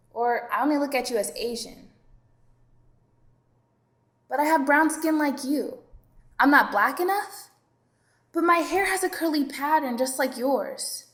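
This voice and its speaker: A woman performs spoken-word poetry in a high voice, her delivery rhythmic and assertive. She sounds defiant as she makes her point. Her lines echo around a roomy space. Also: a bit bright.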